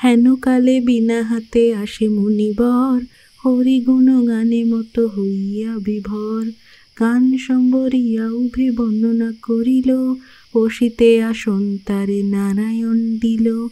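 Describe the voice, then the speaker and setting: Casting: devout village wife and mother, expressive, unhurried, higher-pitched, for audio drama. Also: poor audio. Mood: devout, chanting. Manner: coaxing, expressive. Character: devout, placating village housewife and protective mother.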